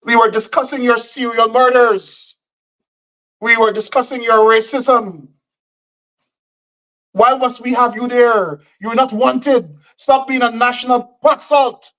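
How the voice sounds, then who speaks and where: A man's voice: very high voice, steady tone, takes it slowly, a bit bright, poor audio. A man from Guyana, delivering combative political commentary and repeating phrases for emphasis.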